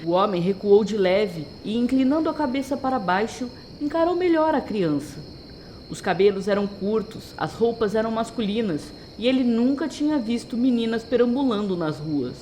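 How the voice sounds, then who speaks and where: A woman's voice: fairly high pitch, expressive, moderate pace, mellow, a little hiss or hum. A woman narrating a scripted audio drama as its storyteller.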